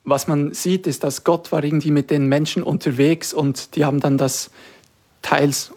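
A man's voice: a little high, fairly even intonation, normal speed, darkish tone. A young man, a radio guest, speaking earnestly with hesitant fillers. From Switzerland. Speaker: guest, discipleship school student. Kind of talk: radio interview.

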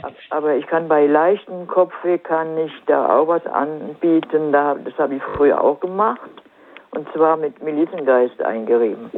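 A woman with a southern German accent calling in to a radio show with a cold, telling her story haltingly with many fillers. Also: sits low. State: has a cold. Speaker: caller. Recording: crackly phone line.

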